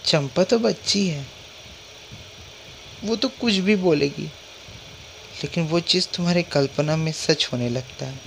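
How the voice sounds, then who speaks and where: A man acting a calm, skeptical husband in a dubbed horror drama, soothing and dismissing his wife's fears. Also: fairly high pitch, unhurried, creaky, pauses often, a little hiss or hum. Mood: reassuring. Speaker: husband and father. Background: music.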